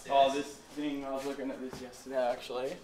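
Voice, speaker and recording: A man's voice: medium pitch, flat delivery, fast, a crowd in the background. A young man chatting casually and enthusiastically in slang as he guides a tour. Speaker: surfboard factory tour guide. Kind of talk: casual chat. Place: indoor surfboard factory.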